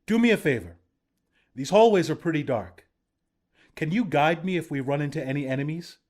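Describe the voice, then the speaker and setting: A man's voice: fairly high pitch, expressive, fairly quick, darkish tone, lightly acted. A man playing a battle-hardened resistance commander in a video game, plain-spoken, protective and reassuring. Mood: brisk, focused. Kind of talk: video game character voice lines.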